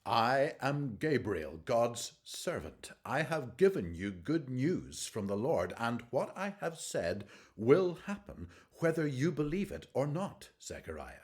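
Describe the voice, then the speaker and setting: An actor playing an angel, a measured and authoritative messenger of God, in a radio drama. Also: male voice, mid-range voice, sing-song. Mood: stern. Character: angel Gabriel, calm, authoritative, stern male messenger of God.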